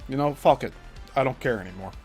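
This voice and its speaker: A man's voice: mid-range voice, animated, quick pace, slightly rough. Man, angry clip commentary, swearing, mocking, faint music behind. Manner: profane, scornful, mocking. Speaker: host reacting to clips. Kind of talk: angry commentary over clips.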